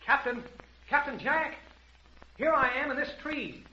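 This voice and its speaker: High, bright, eager boy adventurer. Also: animated, measured pace, heavily acted. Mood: excited. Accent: American. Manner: breathless, earnest, exclaiming. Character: eager excitable boy adventurer, loyal and brave. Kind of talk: old-time radio adventure acting. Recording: dull, noisy vintage radio recording.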